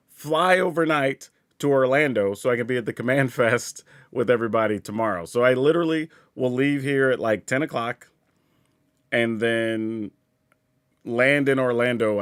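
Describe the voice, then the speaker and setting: A stuffy-nosed man talks through a live stream in an easygoing, chatty way, thinking aloud as he plays. His voice sits at an ordinary middle pitch. The sound is dry and close, with no room around it. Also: a bit bright, smooth, no room sound. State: congested from a sinus cold. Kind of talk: casual live-stream chat while playing.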